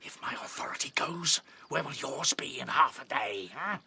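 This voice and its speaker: A man's voice: on the high side, animated, moderate pace, vocal fry, sharp tone, whispered, exaggerated voice. A man playing a ruthless, domineering rabbit general in a radio drama, brusque and commanding. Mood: menacing, angry.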